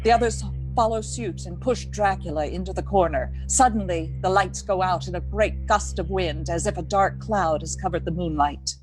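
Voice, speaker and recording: A woman narrates a radio play in a lowish voice, reading scripted action dramatically. Music plays faintly behind her. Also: normal speed, hardly any pauses, darkish tone, low-quality recording.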